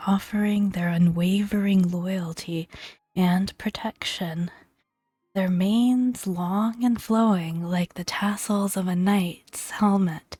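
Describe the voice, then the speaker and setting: A woman speaking softly and gently in a breathy near-whisper, narrating a video game as ASMR. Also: medium pitch, no room sound. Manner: gentle, hushed delivery. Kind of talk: soft-spoken ASMR video game commentary. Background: soft background music.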